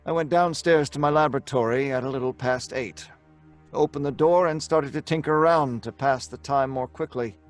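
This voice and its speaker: An actor playing an obsessed young scientist in old-time radio horror style, earnest, dramatic and deliberate. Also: male voice, medium pitch, faint music behind, close to an everyday voice. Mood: tense anticipation.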